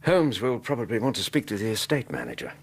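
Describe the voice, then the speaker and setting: Courteous English physician for radio play: low, very expressive intonation, reassuring bedside manner, tactful questions. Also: male voice, quick pace, slightly rough, exaggerated voice. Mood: gently probing. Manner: courteous, reassuring, gently probing. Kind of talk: radio drama acting.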